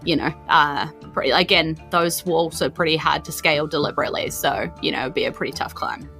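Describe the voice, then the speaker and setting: A woman's voice: low-pitched, fairly quick, gravelly, sharp tone, faint music behind. A woman with a New Zealand accent running a tabletop game, improvising her narration in an informal, meandering, conversational way. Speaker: game master.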